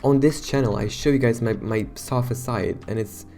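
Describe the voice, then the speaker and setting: A young man, hoarse from losing his voice, chatting casually and rambling to his viewers as a YouTuber. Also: medium pitch, moderate pace, dark tone, soft background music. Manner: chatty, rambling, self-conscious. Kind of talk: casual talk to camera.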